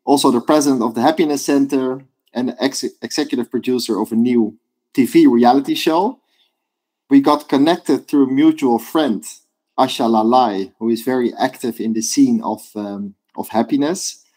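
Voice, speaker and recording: Male podcast host, mid-range pitch, curious, asks questions, some restarts. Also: normal speed, warm tone. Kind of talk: interview hosting.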